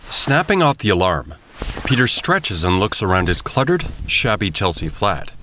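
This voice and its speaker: A man narrating a scripted audio drama as a measured, descriptive storyteller. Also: on the low side, very animated, pushed and bright, a little hiss or hum. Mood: storytelling.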